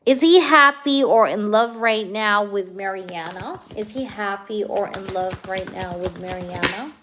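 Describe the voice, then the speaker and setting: A woman doing a live tarot reading for a viewer. She talks in a chatty, energetic way, full of "you know" and "okay", and keeps checking whether things make sense to the viewer. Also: medium pitch, unhurried, heavy background noise. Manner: addresses the viewer directly, asks if things resonate. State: hyper, energetic. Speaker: tarot reader.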